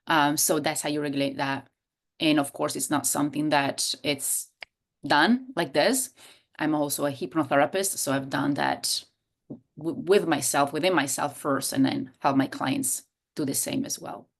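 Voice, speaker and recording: A woman's voice: sits low, fairly even intonation, normal speed, vocal fry. A woman in her thirties from Italy with an Italian accent, talking expressively as an interview guest and sometimes searching for words. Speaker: guest, coach and hypnotherapist. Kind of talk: casual interview conversation.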